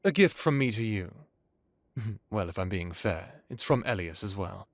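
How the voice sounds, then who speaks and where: Male actor as ancient vampire lord for audio drama: low, slow, genteel, knowing, world-weary. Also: lively intonation, no echo, clean studio sound. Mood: grave, knowing. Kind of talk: dramatic audio roleplay acting.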